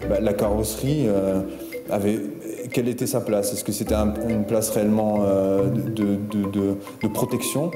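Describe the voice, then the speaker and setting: A man giving a hesitant interview answer, pausing often as he explains. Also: fairly low pitch, fairly even intonation. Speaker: designer, interviewee. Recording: echoey, long reverb, music underneath. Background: music.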